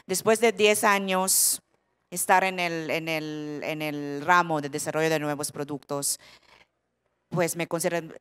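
A woman from the Philippines with a Filipino accent, speaking Spanish as a second language on a conference panel, halting and searching for words, often adding "¿no?". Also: deep voice, fairly even intonation, fairly bright tone, smooth. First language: not Spanish. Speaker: panelist, product development director. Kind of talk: panel talk.

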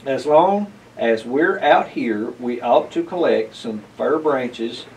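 A man's voice: medium pitch, expressive, slow, recorded from a distance, slight background noise, low-quality recording. A man voicing a grumbling, practical old man in a children's storybook read-aloud. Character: grumbling, practical old male farmer-like homebody.

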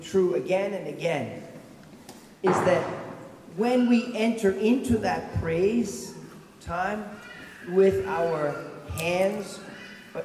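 A middle-aged male pastor with a raspy voice, giving a conversational sermon from a pulpit mic and asking the congregation questions. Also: high voice, a little mellow, recorded from a distance, a little hiss or hum. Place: large church, at a pulpit. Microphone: stand mic at the pulpit. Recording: echoey church heard from afar.

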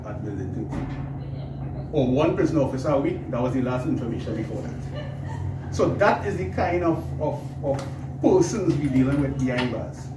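A middle-aged man giving an earnest press conference statement, repeating words as he talks. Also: mid-range voice. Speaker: prison officers' association spokesman. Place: small indoor office room. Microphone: cluster of press mics on a table. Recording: echoey room, mic at a distance, noisy.

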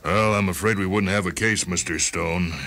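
An actor playing a reasonable, measured lawyer in a radio drama, deliberate and formal. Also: male voice, low-pitched, steady tone, gravelly, bright, forceful tone, faint music behind, exaggerated voice. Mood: reasoned.

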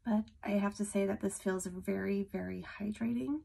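A woman's voice: medium pitch, fairly even intonation, normal speed, creaky, warm tone, breathy edge. A woman in her thirties, congested from a cold, chatting casually to camera as she demonstrates a lipstick, with many ums. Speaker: beauty vlogger. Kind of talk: casual product demo to camera. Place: small room at home, indoors.

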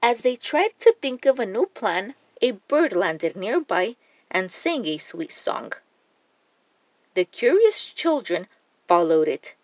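A woman narrating a children's storybook in a deliberate, expressive reading style. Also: mid-range voice, no room sound.

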